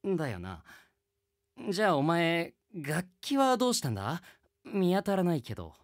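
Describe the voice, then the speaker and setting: Lively, exasperated teenage boy. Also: a little high, normal speed. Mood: wry, exasperated. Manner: exasperated, emphatic retorts. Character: exasperated teenage boy music student, earnest straight man. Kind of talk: Japanese radio comedy drama acting and narration.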